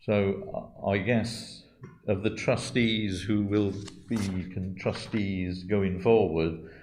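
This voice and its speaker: Low-voiced man chairing a church meeting, careful and hesitant. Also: steady tone, takes it slowly, a little mellow, a little hiss or hum. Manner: hesitant, with many restarts and qualifiers. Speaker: church meeting presenter answering questions on accounts. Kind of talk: meeting talk, thinking aloud.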